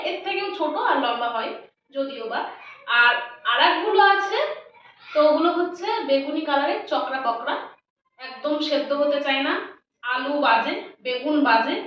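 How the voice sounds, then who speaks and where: Woman, high voice, West Bengal Bengali accent, chatty vlog talk to friends, distant mic in an echoey room. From West Bengal, India. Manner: chatty, addresses viewers as friends. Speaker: lifestyle vlogger. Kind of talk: casual chatty vlog, showing shopping to viewers. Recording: roomy echo, distant mic, dull, faint noise.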